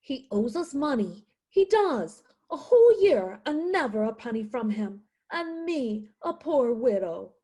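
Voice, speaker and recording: Husky, animated, stubborn widow acted by a woman. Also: sits high, measured pace, few pauses, heavily acted. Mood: indignant. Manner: indignant, insistent, dramatic. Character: stubborn, indignant poor widowed mother of a teenage boy. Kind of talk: theatrical radio play acting.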